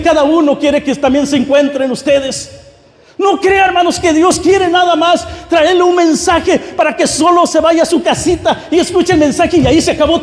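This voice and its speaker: Very high-pitched male preacher in an echoey hall. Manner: exhorting, often addressing the congregation directly; fervent, repetitive phrasing. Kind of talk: Pentecostal-style sermon. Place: large reverberant room, church service. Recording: echoey hall with long reverb.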